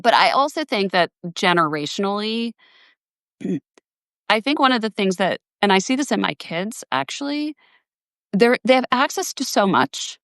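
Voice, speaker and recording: A woman in her forties talks things through in a relaxed, musing way, full of little restarts and "like"s. Her voice sits at a middle pitch and sounds dry and close, over a video call. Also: unhurried, dry recording. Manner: reflective, thinks aloud with many "like" and restarts. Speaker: host. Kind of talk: casual conversation on a live video.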